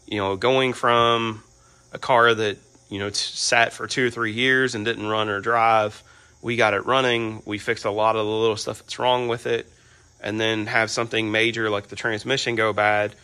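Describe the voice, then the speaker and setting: A man with a hoarse, bright, forceful voice and a fairly low pitch talks through a casual garage update. He rambles loosely, with plenty of "um" and "you know". He is filmed on a handheld phone in a large home garage, with crickets chirping faintly behind him. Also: normal speed. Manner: relaxed, filler-heavy rambling with frequent "um" and "you know". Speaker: garage channel host.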